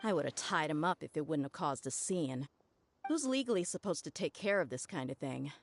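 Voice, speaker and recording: A woman's voice: deep voice, animated, brisk, slight background noise. A woman voicing a tough, blunt young female mercenary with a casual manner in a video game. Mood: irritated. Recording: game music behind the voice.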